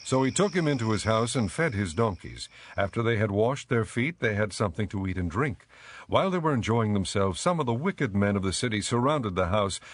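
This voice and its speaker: Low-voiced male scripture narrator. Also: expressive, fairly quick. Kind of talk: scripted narration of dramatized Bible audiobook.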